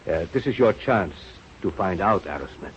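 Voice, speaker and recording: Old professor, gruff kindly mentor, halting broken English, very lively pitch, radio play, dull noisy old recording. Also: male voice. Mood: dry, sardonic. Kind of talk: 1930s radio drama acting.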